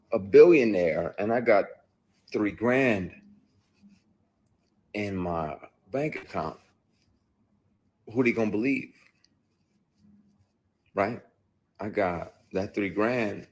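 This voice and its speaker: A man with a very deep, husky voice tells his own story candidly. He is blunt and emphatic and swears freely as he talks. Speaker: actor.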